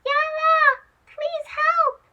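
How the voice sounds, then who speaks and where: A woman's voice: very high-pitched, flat delivery, extremely slow, highs cut off, background noise, voice pushed up, full character voice. A woman voicing a small, eager male storybook mouse, worried and brave, with an urgent, exclaiming way of talking. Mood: earnest, pleading. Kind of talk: children's storybook character voice.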